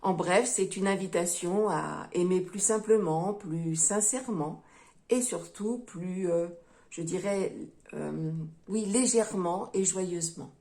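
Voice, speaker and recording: Slow, mellow-voiced woman reading tarot. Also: fairly low pitch. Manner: gentle, unhurried, with frequent hesitations; addresses viewers as friends. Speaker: tarot reader. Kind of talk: astrology tarot reading to viewers.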